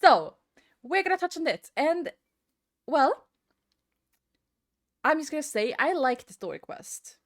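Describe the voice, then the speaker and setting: Woman ranting casually, halting and emphatic. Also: sits high, very animated. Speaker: video creator giving opinion rant. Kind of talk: casual opinion rant to viewers.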